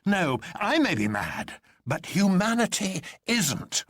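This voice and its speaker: Storybook doctor, played by a male reader: stubborn, plain-spoken, earnest, voice lifted high and swinging. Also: sing-song, slow pace, a bit bright, no echo, clean studio sound, exaggerated voice. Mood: earnest, emphatic. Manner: precise, emphatic.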